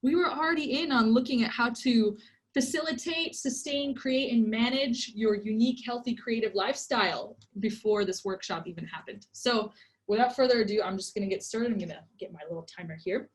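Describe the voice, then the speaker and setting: A woman's voice: on the high side, fairly quick. A woman in her twenties who grew up in Egypt, leading an online workshop in a casual, chatty way with many ums and likes, on a computer mic. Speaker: workshop presenter.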